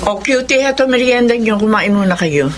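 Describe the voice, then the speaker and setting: A woman's voice: on the high side, expressive, fairly quick, over loud music, lightly acted. An actress playing a caring middle-aged mother who soothes and encourages her daughter. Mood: comforting. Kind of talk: Filipino radio drama acting.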